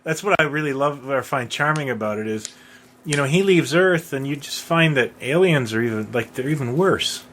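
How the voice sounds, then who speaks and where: A man's voice: mid-range voice, animated, normal speed, a bit bright. A man co-hosting a podcast in casual, conversational fan chat. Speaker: podcast co-host. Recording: faint background noise.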